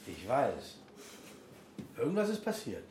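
An actor playing a weary, caring and worried bar owner in a naturalistic radio drama. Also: male voice, mid-range voice, huge pitch swings, unhurried, a little mellow, echoey room, a little hiss or hum. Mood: concerned, dry.

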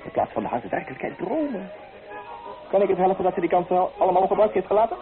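A frivolous, theatrical playboy with a high male voice. He talks in a mocking, flamboyant way. Music plays clearly behind him on a thin, tinny old recording. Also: sing-song, fast. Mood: carefree, defiant. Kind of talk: Dutch radio play acting.

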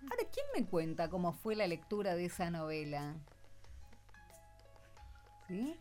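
A woman's voice: on the low side, big pitch swings, a little slow, darkish tone, soft background music. A woman with an Argentine accent hosting an informal radio chat with schoolchildren, prompting with short questions and echoed answers. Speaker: radio host.

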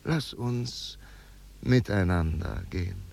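Wistful older man, creaky low voice, poetic and brooding, 1950s radio drama, faint noise. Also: expressive, darkish tone, heavily acted. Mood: musing. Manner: halting, poetic, dropping to whispers. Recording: noisy old radio recording.

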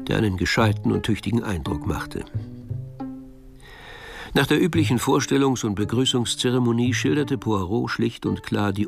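A man's voice: on the low side, vocal fry. A man narrating a detective story in a scripted, literary style for a radio play.

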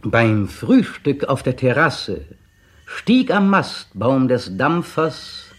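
An actor playing a brooding, cynical poet in a radio drama monologue, talking to himself haltingly and slipping into declamation. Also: male voice, medium pitch, sing-song. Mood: musing, declaiming. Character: brooding, cynical man, a poet, waiting restlessly at home. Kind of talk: 1960 German radio drama interior monologue.

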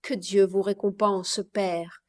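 Woman voicing a soft-spoken dying girl. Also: medium pitch, level tone, slow pace, close to an everyday voice. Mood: resigned. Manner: soft, quiet, resigned. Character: dying young girl, gentle and resigned.

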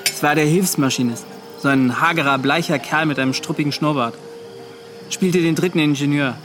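Guy playing haunted young sailor confessing, halting and intense, lightish high voice, music underneath. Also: lightly acted. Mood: bitter, brooding. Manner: halting, intense, broken short phrases. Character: haunted young seaman confessing his desertion, bitter, shaken. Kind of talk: radio play acting, first-person account.

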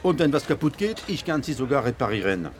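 Animated Moroccan market trader played by a man. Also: mid-range voice, fast talker, lightly acted. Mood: chatty, selling. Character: lively, wary Moroccan flea-market trader. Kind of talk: radio drama dialogue.

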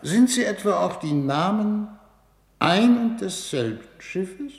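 An actor playing a grave, shrewd foreign minister in a 1950s radio drama, speaking in a formal, measured, probing way. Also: male voice, high-pitched, animated, heavily acted. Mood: suspicious, probing. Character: grave, shrewd male foreign minister leading a space mission.

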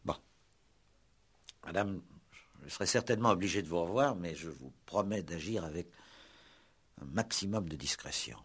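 A low-voiced, rough-edged police commissioner in a vintage radio play. He is terse and probing, formal in address, and leaves measured pauses as he questions. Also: expressive. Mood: formal, tactful. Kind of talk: 1960s French-language radio play acting.

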